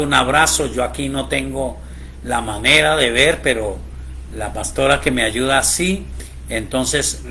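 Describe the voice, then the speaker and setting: A man teaching a Bible program as a pastor, preaching slowly and emphatically, his voice rising on key points. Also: medium pitch, vocal fry, pushed and bright, slight background noise.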